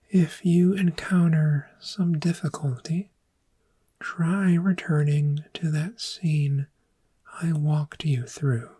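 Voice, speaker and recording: A woman playing a gentle, patient dragon therapist in a soft-spoken ASMR roleplay, with a breathy, whispery, measured and reassuring delivery. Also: low-pitched, exaggerated voice.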